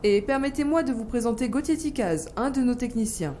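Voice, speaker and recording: An actress playing a composed senior agency official in an audio drama, formal, measured and articulate. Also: female voice, fairly high pitch, expressive, mellow, slight background noise, natural voice. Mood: composed, grave.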